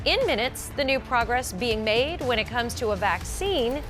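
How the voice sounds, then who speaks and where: A woman's voice: fairly high pitch, animated, moderate pace, over music. A woman anchoring a morning TV newscast, reading scripted stories with polished, emphatic delivery. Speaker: news anchor. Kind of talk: scripted morning news broadcast.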